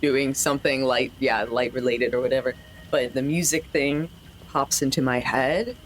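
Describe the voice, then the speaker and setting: A woman's voice: a bass voice, a little hiss or hum, low-quality recording. A woman playing a tabletop game, talking casually and hesitantly as she thinks aloud.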